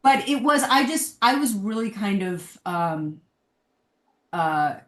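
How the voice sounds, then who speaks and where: An echoey, roomy recording of a woman on a podcast panel. Her voice sits at an average pitch as she talks casually and candidly. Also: lively intonation, slow, fairly bright tone. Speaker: podcast guest, television writer.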